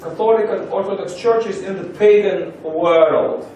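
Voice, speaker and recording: A Russian man speaking English as a second language, giving a halting seminar introduction with many pauses. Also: sits high, a little mellow, a little hiss or hum. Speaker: lecturer. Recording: roomy echo from far off.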